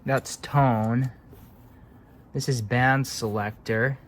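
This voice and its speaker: A man's voice: mid-range voice, fairly even intonation, drawn-out words, a little hiss or hum. A man giving casual, hands-on commentary as a hobbyist electronics repairer, offhand and muttering as if to himself.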